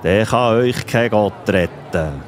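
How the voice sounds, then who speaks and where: A man's voice: lowish voice, slow, slight background noise. An actor playing an imperious, hot-tempered king in a children's audio play, with a Swiss accent and a theatrical, commanding manner. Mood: indignant, commanding.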